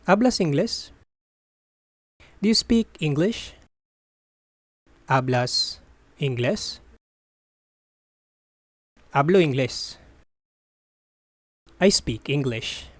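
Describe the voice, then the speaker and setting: A voice reading a phrasebook drill at a very slow, careful pace. It says each phrase, translates it, then repeats it broken into parts. The recording is dry and close, with no room sound. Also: mid-range voice, big pitch swings, dry recording. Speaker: language teacher.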